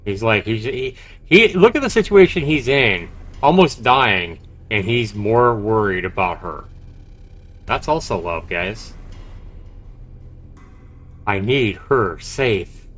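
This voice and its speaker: A man's voice: fairly low pitch, expressive, slow pace, long pauses, a bit bright. A man livestreaming a visual novel, reading the text aloud dramatically and reacting expressively as he comments. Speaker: game streamer. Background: game music.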